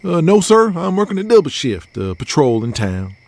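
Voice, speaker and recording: A man voicing a starstruck, nervous patrol cop, excitable and deferential, stammering a little. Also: a little high, moderate pace, vocal fry, no echo, pitched above the performer's own voice, heavily acted.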